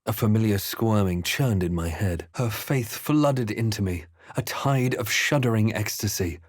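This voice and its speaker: An actor playing a grave, measured fantasy adventurer in a video game, narrating with solemn, deliberate theatricality. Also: male voice, fairly low pitch, dry recording, clean studio sound. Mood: solemn, reflective. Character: measured, grave male fantasy adventurer recalling his journey. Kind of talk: scripted game narration and character lines.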